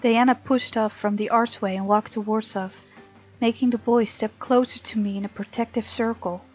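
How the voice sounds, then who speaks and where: A woman gamer reading visual novel narration aloud in an expressive, informal way, with casual commentary of her own. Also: on the high side, normal speed, slightly rough. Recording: muffled mic, game music behind. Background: game music.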